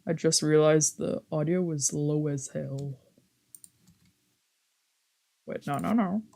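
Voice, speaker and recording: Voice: medium pitch, slow pace, gravelly, a little mellow. A streamer casually narrating and commenting on a visual novel, swearing and reacting freely between lines read aloud. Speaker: streamer doing a let's play.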